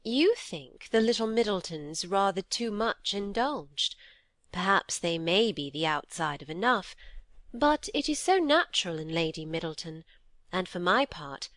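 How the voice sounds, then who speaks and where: Sly flattering young lady, woman reader voicing her, dry. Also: medium pitch, a little slow, no room sound.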